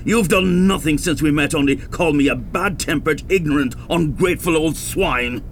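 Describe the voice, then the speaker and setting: A man's voice: a little high, normal speed, a little hiss or hum, natural voice. An actor in a radio play playing a bad-tempered, self-pitying older Dublin father who has died, blustering and stammering, with an Irish accent. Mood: stubborn, blustering. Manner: blustering, stammering when flustered.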